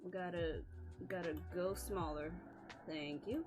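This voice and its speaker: Slow-talking woman with a scratchy voice. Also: on the low side, fairly even intonation, music in the background. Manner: casual, apologetic, swears and laughs often. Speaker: streamer. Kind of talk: casual live-stream chat.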